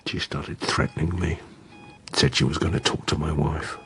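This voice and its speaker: An actor playing a weak, self-pitying adulterous husband in a radio drama, confessing to murder in a halting murmur. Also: male voice, very deep voice, steady tone, pushed and bright, a little hiss or hum. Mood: anguished confessing.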